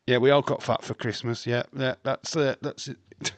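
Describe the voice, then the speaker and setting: A man's voice: medium pitch, level tone, moderate pace, no echo. A man co-hosting a casual live stream podcast, chatty and greeting viewers, losing his voice. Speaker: podcast co-host.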